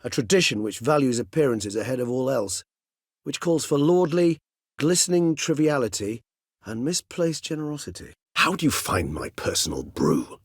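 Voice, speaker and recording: An actor voicing an erudite, gentle, world-weary old vampire scholar in a video game, speaking in measured, courtly, bookish phrases. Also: male voice, mid-range voice, big pitch swings, breathy edge, no echo, studio quality. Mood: solemn. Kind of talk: video game character dialogue.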